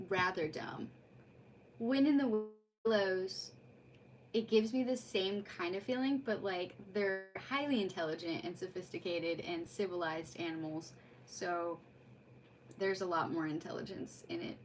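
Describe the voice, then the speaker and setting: Woman, livestream host, casual chat, effusive, rambling, medium pitch, faint background noise. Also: rough recording. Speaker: streamer hosting a live read-aloud.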